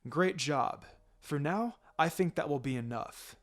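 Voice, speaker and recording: A man voicing a calm, firm male ninja teacher in a story, instructing in a measured, matter-of-fact way. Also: on the high side. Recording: music under the voice.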